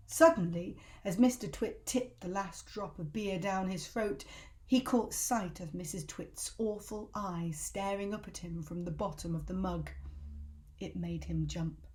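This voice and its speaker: A woman's voice: medium pitch, mellow. A woman reading a children's story aloud as a storyteller, with dramatic, emphatic delivery.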